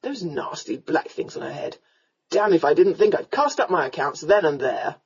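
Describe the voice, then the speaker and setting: Storybook drunken gentleman rake, played by a woman: lowered pitch, slurred and drawling, roguishly amused, colloquial. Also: very animated, normal speed, gravelly, breathy edge, lo-fi sound, exaggerated voice. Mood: drunken, befuddled.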